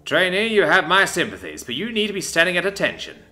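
A man's voice: high voice, lively intonation, fast talker, soft background music, voice pushed up, heavily acted. A man doing a bellowing drill sergeant. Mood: quietly amused. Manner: shouting orders, clipped and commanding. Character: barking male Air Force drill sergeant, strict.

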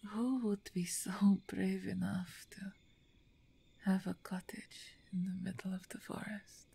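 An actress voices a strong half-orc woman, wounded and weary. She speaks very slowly in a mellow, breathy voice that often sinks to a whisper. She is halting and weak, wary but wry, as in an ASMR audio drama. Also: medium pitch, little pitch movement, exaggerated voice. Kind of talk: ASMR roleplay acting.

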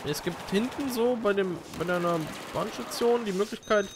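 Male let's-player, slightly high voice, colloquial co-op chat, faint gunfire and game ambience behind. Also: moderate pace, noisy background. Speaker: video game commentator. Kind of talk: casual gaming chat with a co-player. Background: video game gunfire and ambience.

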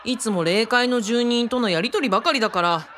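A woman's voice: a little high, brisk, fairly bright tone, a little hiss or hum, close to an everyday voice. A woman playing a curious, supportive young man in a radio drama, casual and encouraging.

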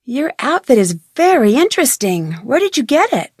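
A woman's voice: high voice, big pitch swings, moderate pace, darkish tone, husky, dry recording, clean studio sound, full character voice. A woman playing a curious, friendly young amateur detective in a video game, with upbeat, conversational scripted delivery. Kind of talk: scripted video game dialogue and tutorial narration.

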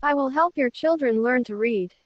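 A friendly young female app helper, voiced by a woman, speaks scripted prompts like an encouraging instructor. The voice is high. The audio is dry and slightly dull. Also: normal speed, no room sound, close to an everyday voice. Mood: friendly, instructive. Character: friendly young female animated reading-helper assistant named Dia.

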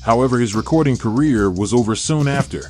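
A man giving a steady, measured scripted documentary narration. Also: mid-range voice, music in the background.

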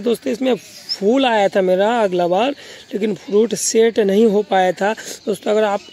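A man's voice: high-pitched, a little mellow, slight background noise. A man from Bengal with a Bengali accent, a native Bengali speaker, narrating an informal gardening tour and often repeating himself as he addresses viewers. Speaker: gardening vlogger.

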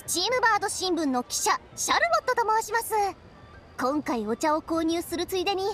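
Young female reporter, very high voice, lively intonation, polite, perky, anime game, faint music. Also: a little fast, exaggerated voice. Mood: cheerful, polite. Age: young adult.

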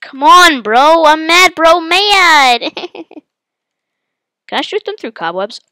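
A congested woman hosting her own gaming channel talks through a match as she plays. She yelps and exclaims at every turn in a fairly high, bright, forceful voice. Her voice is stuffy from a head cold, and the sound is dry and close. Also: takes it slowly, no room sound. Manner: excitable, yelps and exclaims at the game. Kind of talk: live gameplay commentary.

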